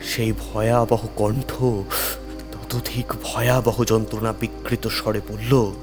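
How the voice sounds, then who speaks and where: A man's voice: on the low side, level tone, takes it slowly. A man narrating a scripted horror story in the first person, with a suspenseful, theatrical delivery. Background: music under the voice.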